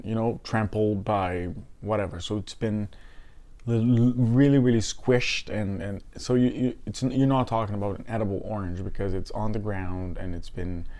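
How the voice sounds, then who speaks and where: Slightly hoarse man, fairly low voice. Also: mellow. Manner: hesitant, halting, often repeating words. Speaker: fragrance reviewer and host. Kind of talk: casual unscripted review to camera.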